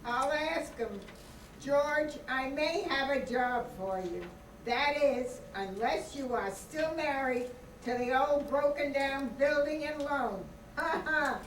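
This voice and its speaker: Someone acting high-pitched slow brash pal, echoey room. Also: male voice, a bit bright, full character voice. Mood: breezy, teasing. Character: Sam, brash teasing wealthy old pal on telephone. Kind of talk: amateur old-time radio play acting. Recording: echoey distant room, faint noise.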